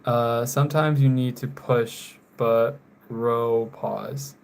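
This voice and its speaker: Young male streamer from North Carolina, unhurried, laid-back chat, drifts quieter at the ends of phrases, apologetic. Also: mid-range voice, fairly even intonation, creaky, a little hiss or hum. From North Carolina, USA. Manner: slow, trailing off quietly, apologetic about names. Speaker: live streamer. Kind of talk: casual live stream chat while drawing.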